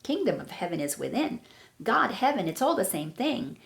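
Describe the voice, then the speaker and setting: Gravelly-voiced woman, spiritual coach. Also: medium pitch, sing-song, moderate pace. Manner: earnest, reflective, with emphatic repetitions. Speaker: awareness coach. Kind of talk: spiritual teaching talk.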